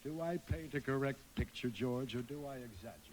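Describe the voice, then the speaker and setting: A man's voice: mid-range voice, animated, a little fast, a little mellow, a little hiss or hum, lightly acted. A man playing a scheming, imperious older banker in a radio drama, pompous and domineering as he persuades. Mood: smug, baiting. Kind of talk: live radio drama acting.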